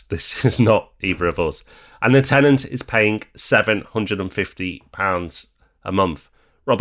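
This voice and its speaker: A man's voice: lowish voice, a bit bright. A man speaking conversationally as a podcast co-host about property, in an informal, bantering manner.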